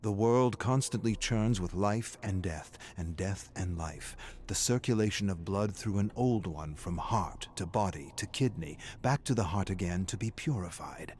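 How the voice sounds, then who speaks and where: An actor playing an erudite, morbid prisoner narrating his journal in a video game, formal and measured. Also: deep voice, a little mellow, a little hiss or hum, lightly acted. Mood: solemn, fascinated. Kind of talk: scripted dramatic game narration.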